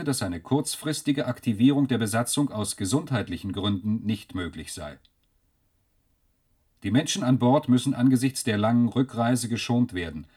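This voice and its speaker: An actor playing a sober male newsreader in a radio play, reading a formal bulletin in a factual, slightly husky voice. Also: medium pitch, darkish tone, close to an everyday voice. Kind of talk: formal scripted news bulletin.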